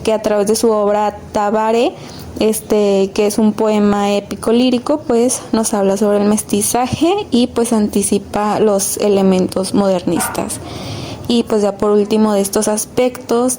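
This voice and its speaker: A woman presents a student podcast in an informal, explanatory style. Her voice is mellow and mid-pitched, and she feels her way through points conversationally. There is faint noise on the recording.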